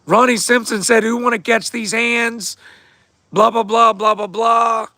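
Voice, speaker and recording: A man hosting a casual livestream, answering viewer questions in a hoarse, damaged voice and talking straight to his audience. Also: extremely high pitch, steady tone, a little slow, sharp tone, dry recording, studio quality. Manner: laid-back, repeats himself, talks straight to viewers. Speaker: host of a fight show answering viewer questions.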